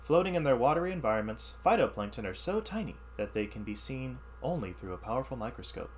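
A man reading a science ebook aloud as a teacher, pausing to explain the text in simpler words. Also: mid-range voice, sing-song, slight background noise. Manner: reads text then explains in plain words. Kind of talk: read-aloud lesson with asides.

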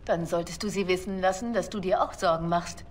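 A woman's voice: on the low side, steady tone, a little fast, a little hiss or hum, natural voice. An actress voicing a young female spy in a video game, earnest and questioning, loyal but worried. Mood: uneasy, earnest. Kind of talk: dramatic video game dialogue.

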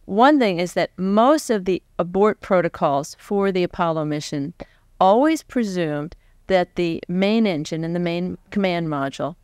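A woman's voice: fairly low pitch, a little mellow, dry recording, clean studio sound. An American woman in her forties interviewing conversationally, reworking her questions as she goes. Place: seated on a stage before a live audience. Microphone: large microphone on stand close to mouth.

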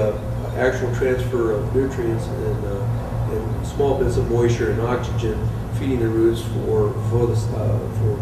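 Male, fifties, hesitant technical explainer, informal, off-mic sound with noticeable background noise. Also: mid-range voice. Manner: halting, hesitant delivery. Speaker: sports turf manager. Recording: distant, roomy sound with clear background noise.

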